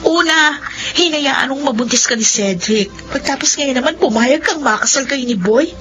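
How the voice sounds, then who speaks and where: Actress as high-voiced blunt young friend, muffled. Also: female voice, moderate pace, fairly bright tone. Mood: exasperated. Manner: questioning, scolding. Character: blunt, exasperated young female friend, protective. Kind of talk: radio drama acting. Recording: dull sound, faint music.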